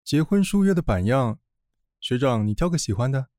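A man playing a stoic, devoted, quietly teasing younger police partner, deadpan and softly spoken, in an audio drama. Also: mid-range voice, normal speed, dry recording, clean studio sound, natural voice. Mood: calm devotion. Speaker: voice actor.

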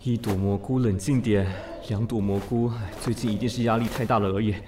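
A man voicing a fussy, excitable, lovestruck man in an audio drama, theatrical and exclamatory. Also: on the low side, fairly quick, a crowd in the background, lightly acted. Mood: trying to calm down. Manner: theatrical, exclamatory, self-questioning. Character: fussy, excitable, vain man smitten at first sight. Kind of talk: audio drama acting with inner monologue.

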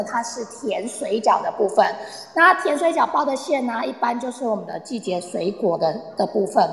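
A woman's voice: fairly high pitch, vocal fry, fairly bright tone. A woman playing a chatty, eager tour guide who explains patiently and coaxingly in a radio play. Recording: echoey reverberant room sound.

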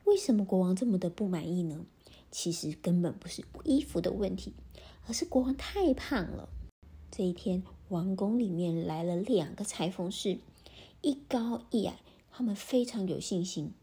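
A woman with a breathy, often half-whispered voice, telling a children's story in a gentle, animated way. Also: mid-range voice, normal speed.

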